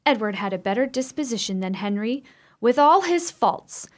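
A woman's voice: fairly high pitch, animated, moderate pace, natural voice. A woman acting in a radio play as an outspoken, suspicious sister, speaking in an indignant, insistent manner. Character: Emma, outspoken, suspicious woman grieving her brother.

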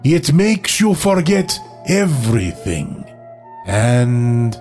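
A man playing a suave, predatory vampire shop manager in an audio drama, talking in a measured, hypnotic, insinuating manner. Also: medium pitch, sing-song, a little mellow, faint music behind, heavily acted. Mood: hypnotic, savoring.

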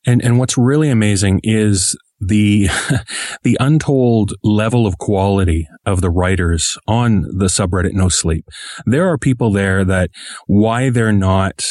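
A man's voice: lowish voice, unhurried, darkish tone, no room sound, clean studio sound. A man speaking as an interviewed podcast creator, thoughtful and wordy, with a self-deprecating streak in conversation.

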